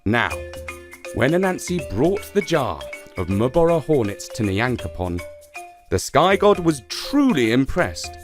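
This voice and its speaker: A man's voice: mid-range voice, sing-song, measured pace, music in the background. A man narrating a scripted folktale for children as a storyteller.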